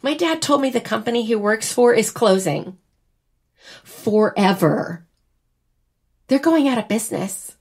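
A woman's voice: on the high side, lively intonation, measured pace, long pauses, darkish tone, slightly rough, heavily acted. A woman playing a wry, wistful young American bride in a naturalistic audio drama. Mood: wistful, upset.

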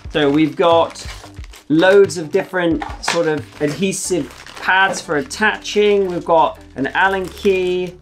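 A man's voice: high-pitched, slow pace, soft background music. A young man chatting casually and explaining things to camera as a vlogger, with an enthusiastic, exclaiming manner. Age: young adult. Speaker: travel vlogger. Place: wood-panelled living room.

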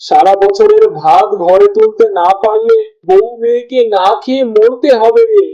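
A man's voice: extremely high pitch, huge pitch swings, moderate pace, creaky, dark tone, muffled recording, exaggerated voice. A man voicing an anguished poor village farmer, a husband and father, in a cartoon with plaintive, lamenting delivery. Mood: wailing, despairing. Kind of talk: cartoon voice acting.

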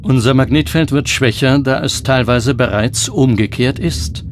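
A man's voice: medium pitch, a little slow, noisy background. A man delivering scripted narration for a documentary.

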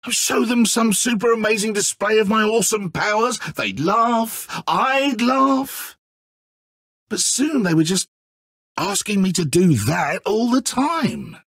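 A man's voice: high voice, expressive, takes it slowly, fairly bright tone, breathy edge, no room sound, clean studio sound, heavily acted. An actor playing a petulant, needy, godlike male villain in a video game, with a British accent and a theatrical, sarcastic, stammering manner. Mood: bitter, complaining. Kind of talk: video game character acting.

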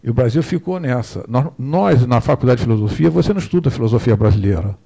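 A man's voice: medium pitch, lively intonation, fast talker, gravelly, warm tone, breathy edge. Older man lecturing from a podium. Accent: Brazilian Portuguese. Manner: digressive, asks for the next slide. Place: hall with projector screen, indoors. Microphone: podium microphone.

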